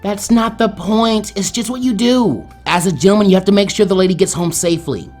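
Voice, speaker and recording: A man's voice: high-pitched, a little fast, a little mellow, faint music behind, pitched above the performer's own voice. A man voicing a perky, bossy teenage girl ninja in a story, chirpy and insistent.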